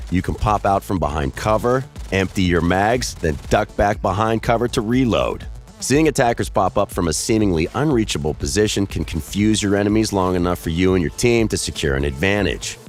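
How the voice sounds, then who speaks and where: Lower-pitched man narrating game tips. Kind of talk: scripted voice-over narration.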